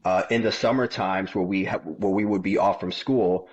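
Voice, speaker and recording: A man's voice: low-pitched, fairly even intonation, normal speed, slightly rough, dull audio. A man in his thirties, a podcast guest, telling his story in casual chat and often repeating words. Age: 30s. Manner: repeats words often, tells stories plainly.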